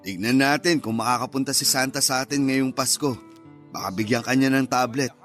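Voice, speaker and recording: A man's voice: medium pitch, steady tone, a little fast, a bit bright, natural voice. A man playing a doting, joking father of a young girl in a radio drama, talking playfully and coaxingly. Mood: agreeable. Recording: music bed under the voice.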